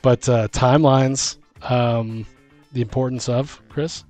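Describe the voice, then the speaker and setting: A man's voice: medium pitch, fairly even intonation, slow pace, a little mellow. A man hosting a casual chat about tabletop games as game master, talking in a rambling, slangy, informal way. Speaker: podcast host and game master. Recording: music behind the voice. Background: music.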